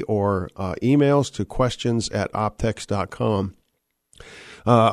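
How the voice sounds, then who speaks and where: A middle-aged man hosting a radio talk show, a little hoarse from a cold, talking with many "uh" fillers and restarts. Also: medium pitch, a little mellow, no echo, clean studio sound. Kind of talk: live radio show opening monologue. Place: radio studio. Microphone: studio microphone.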